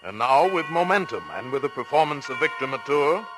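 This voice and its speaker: A man's voice: medium pitch, animated, fairly quick. An American man introducing a program as a formal, theatrical 1940s radio announcer. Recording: 1940s radio recording with music.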